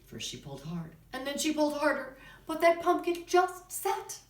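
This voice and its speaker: A woman's voice: sits high, huge pitch swings, normal speed. A woman drama teacher presenting and narrating for children's theater, in instructional talk and storytelling. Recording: echoey room, distant microphone.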